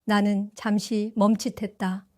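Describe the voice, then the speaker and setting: An older woman narrating her own story in an audio drama, calm and reflective. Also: mid-range voice, measured pace, no echo, clean studio sound. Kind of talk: scripted first-person audio drama narration.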